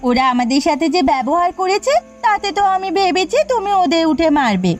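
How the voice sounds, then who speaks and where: A woman's voice: extremely high pitch, animated, a little fast. A woman voicing a nagging, prying adult village wife in a cartoon. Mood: pressing. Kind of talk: cartoon dub acting.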